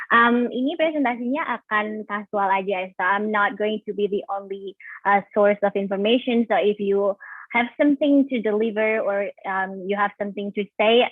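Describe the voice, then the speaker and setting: A young woman with an Indonesian accent presents casually over a video call, pausing on ums and restarting her sentences. Her voice is dry and squeezed into a thin, phone-like band. She drifts between English and Indonesian as she goes. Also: medium pitch, dry recording. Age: 20s. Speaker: webinar speaker. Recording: tinny phone-like call audio.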